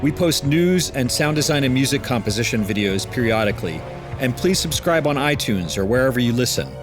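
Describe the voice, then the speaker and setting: Casual podcast host reading credits. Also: male voice, medium pitch, expressive, a little fast, music in the background. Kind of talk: show outro and credits.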